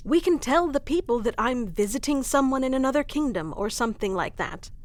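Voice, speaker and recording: A woman's voice: high voice, expressive, brisk. A woman playing a proud, protective warrior queen in an intimate fantasy audio roleplay, speaking with formal, wry, commanding diction. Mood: alarmed, insistent.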